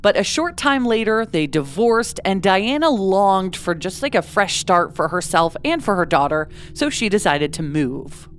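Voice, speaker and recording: A woman tells a true-crime story in a relaxed, conversational way. She slips in casual asides as she narrates. Her voice sits in an ordinary middle pitch. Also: measured pace, hardly any pauses, slight background noise. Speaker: podcast host.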